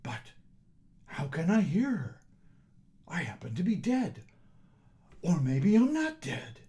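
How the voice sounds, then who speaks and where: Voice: medium pitch, very animated, slow, warm tone. A storyteller voicing a lazy, bewildered husband in a folk tale, plaintive and a little raised. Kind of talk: storybook character voice.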